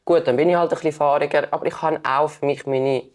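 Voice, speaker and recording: A young woman from Switzerland, a podcast guest chatting casually and telling animated stories with quick jumps in pitch. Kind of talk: casual conversation.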